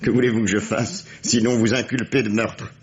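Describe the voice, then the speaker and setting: A man's voice: lowish voice, level tone, normal speed, slight background noise, lightly acted. An actor playing a shrewd, middle-aged police detective in a radio mystery, teasing and condescending as he interrogates. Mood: mocking amusement. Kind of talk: 1950s French radio mystery acting. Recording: dull, vintage radio drama sound.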